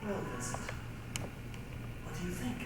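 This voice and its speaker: A man's voice: a little slow, natural voice. A man playing a haughty, exasperated painter in theatrical, emphatic readers' theatre on stage. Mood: cool, challenging. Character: Gauguin, haughty exasperated male painter friend. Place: large indoor room or hall, distant microphone. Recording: echoey hall from far off, noisy tape.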